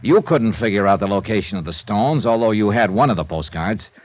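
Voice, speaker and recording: An actor playing a tough, wry, confident investigator with an American accent, punchy and sardonic in 1950s radio style. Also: male voice, fairly low pitch, animated, brisk. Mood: wry, knowing. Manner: punchy, hard-boiled, sardonic. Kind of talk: 1950s radio acting and first-person narration. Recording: dull old radio recording with music.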